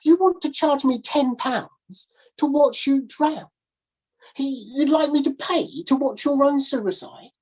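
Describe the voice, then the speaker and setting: A woman voicing a stiff, proper gentleman in his late fifties who is easily shocked. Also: high-pitched, slow pace, pitched above the performer's own voice, low-quality recording. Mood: indignant.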